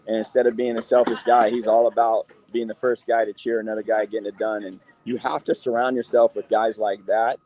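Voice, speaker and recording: An American man, a high school football coach, answering interview questions earnestly and repeating phrases for emphasis. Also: flat delivery, a little fast, hardly any pauses, darkish tone, a little hiss or hum.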